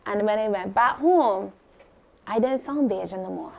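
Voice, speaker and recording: Animated Barbadian woman. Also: medium pitch, moderate pace, creaky, lo-fi sound. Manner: animated, expressive storytelling. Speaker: artist guest. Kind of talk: live artist talk on stage. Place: small gallery room. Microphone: handheld mic.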